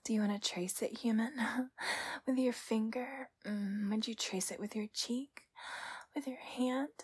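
A breathy, high-voiced temptress of a spirit, acted out by a woman in an intimate adult audio roleplay. She speaks slowly and teasingly, often slipping into a whisper, with sultry, playful hesitations.

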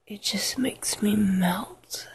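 A woman whispering an intimate, sensual erotic monologue to the listener in a breathy, drawn-out way. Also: medium pitch, a little hiss or hum. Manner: slow, sensual, drawn-out delivery with soft moans.

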